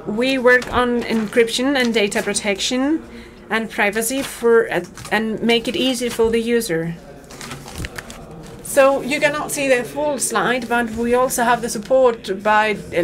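A woman interpreting a startup pitch in real time, talking fast and steadily with occasional fillers. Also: a bit bright. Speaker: simultaneous interpreter. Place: conference auditorium. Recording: auditorium feed with crowd and noise.

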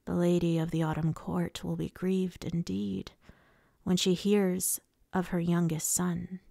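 Menacing immortal male High Lord, played by a woman narrator, taunting and smooth, unhurried, dry storybook read. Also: level tone, warm tone, no room sound. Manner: taunting, purring, casually cruel.

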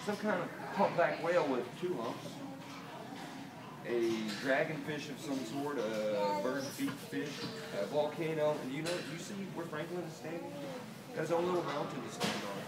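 A middle-aged American man from Delaware reads a picture book aloud to children, expressively, with deliberate pauses and questions to his listeners. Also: on the high side, expressive. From Delaware, United States. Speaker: children's author. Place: small toy shop, indoors. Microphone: camera a few meters away. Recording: roomy, distant camera pickup, loud room noise.

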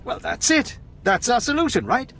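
A man's voice: very high voice, very animated, moderate pace, gravelly, a bit bright, a little hiss or hum, full character voice. A man playing a harried, affable army major in a video game, musing and eager to help in a conversational way. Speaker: military officer.

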